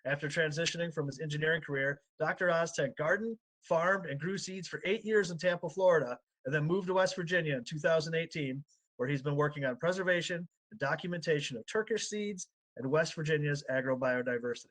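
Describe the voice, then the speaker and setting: A man's voice: on the high side, poor audio. Upbeat man hosting an online panel. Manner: upbeat, quick when excited. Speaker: panel host.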